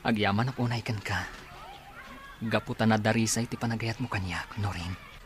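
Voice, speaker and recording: A man's voice: on the low side, steady tone, normal speed, natural voice. A man acting a humble, grateful, earnest man in love in a serial audio drama. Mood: tender, grateful. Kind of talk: Filipino radio-style drama dialogue.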